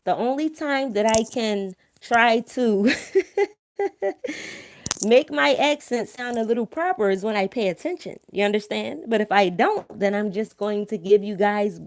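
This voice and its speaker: A woman's voice: mid-range voice, unhurried. A woman with a thick Philadelphia accent talks informally to her live-stream viewers, blunt and emphatic, slangy, swearing freely and checking that they follow. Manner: repeatedly checks listeners follow with tag questions. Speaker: online live-stream host. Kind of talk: informal opinionated talk to viewers.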